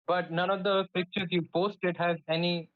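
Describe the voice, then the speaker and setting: A man's voice: sits high, flat delivery, normal speed, highs cut off. A man in an online voice chat argument, pressing with incredulous, challenging questions. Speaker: debate participant.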